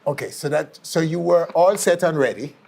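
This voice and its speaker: A man with a Jamaican accent presenting and interviewing on an entertainment show in a deliberate, clarifying manner. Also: fairly high pitch, vocal fry, a little mellow.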